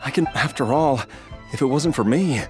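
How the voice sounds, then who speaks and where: An actor playing an ailing, devoted husband and father in a video game, halting and strained with emotion. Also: mid-range voice, close to an everyday voice. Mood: desperate, pleading. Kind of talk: scripted video game voice acting. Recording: music behind the voice. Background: background music.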